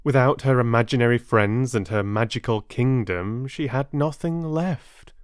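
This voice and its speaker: A man narrating a children's story expressively as a storyteller, in a measured way with dramatic pauses. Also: mid-range voice, no echo, studio quality.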